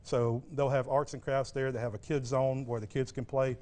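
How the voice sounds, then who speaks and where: A man's voice: mid-range voice, flat delivery, moderate pace, a little mellow. A middle-aged man giving a casual, instructive cooking demonstration as a guest barbecue cook, in a plain-spoken way. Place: TV studio kitchen set.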